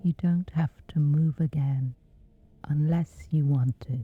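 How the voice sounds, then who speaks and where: A woman with a whispery voice, slowly and gently guiding a sleep meditation. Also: sits low, steady tone, husky, phone-quality sound. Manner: slow, soothing, unhurried. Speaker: meditation guide.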